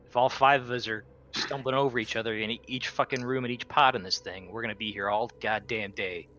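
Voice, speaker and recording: A man's voice: medium pitch, steady tone, fairly quick, sharp tone, a little hiss or hum. A man playing a tabletop roleplaying game, talking casually in a blunt, bossy, sweary way as he takes the captain's part. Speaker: player as the crew captain.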